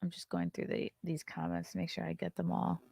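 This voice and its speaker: A woman's voice: on the low side, little pitch movement, a little fast, vocal fry, mellow, breathy edge. A woman in her thirties hosting a casual livestream, reading chat comments and reacting with blunt, sarcastic commentary and frequent swearing. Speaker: livestream host.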